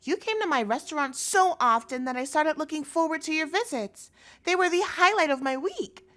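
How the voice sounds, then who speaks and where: A woman's voice: high voice, animated, moderate pace, a bit bright. A woman playing an obsessive chef girlfriend in a scripted audio roleplay, sugary and coaxing with a threatening edge, speaking intimately to the listener. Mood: sweet, doting. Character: obsessive yandere chef girlfriend, sweet but menacing.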